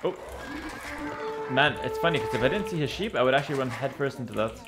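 A young man with a slightly raspy voice casually commenting on a strategy game he is playing while thanking chat, talking into a headset in a small room. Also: medium pitch, fast talker, loud music behind the voice. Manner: relaxed, thinking aloud, reading chat. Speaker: streamer. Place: small home room, indoors.

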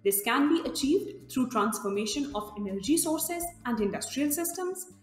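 A young woman from Pakistan speaks in a clear, deliberate way, her voice a little high. The room around her rings with a long, echoing tail. Faint music sits under her words. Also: animated. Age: young adult. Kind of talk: prepared remote talk.

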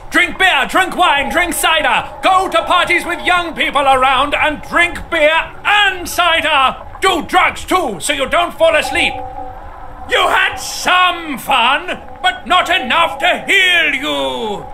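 Very high-pitched talking necktie. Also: male voice, takes it slowly, raised voice, over music, heavily acted. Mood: excited, urging. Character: talking necktie, manic boozy best friend, tender and melancholy. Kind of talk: scripted video game character acting.

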